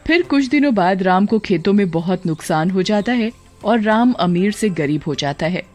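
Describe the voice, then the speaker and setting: A woman's voice: mid-range voice, lively intonation, normal speed, darkish tone. A woman giving scripted narration for a cartoon family story. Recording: background music.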